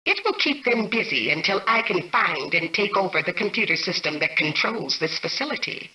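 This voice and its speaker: An imperious computer intelligence for a video game, coldly menacing and formal. Her medium-pitched voice gives measured, robotic commands with a crisp, forceful edge. The sound is echoey and set back from the listener. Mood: cold, satisfied. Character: cold, imperious female mainframe AI, menacing and calculating. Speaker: game antagonist turned ally. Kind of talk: scripted video game voice acting. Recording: distant, echoey processed game audio.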